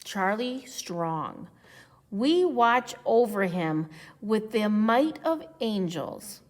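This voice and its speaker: A woman reading a children's picture book aloud with deliberate, expressive phrasing. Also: mid-range voice. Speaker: storybook reader. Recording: echoey, long reverb.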